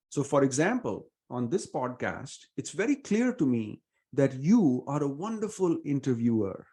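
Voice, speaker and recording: A middle-aged man from India with an Indian accent, giving earnest, measured interview answers with many fillers. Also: a little high, dark tone. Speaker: venture investor guest.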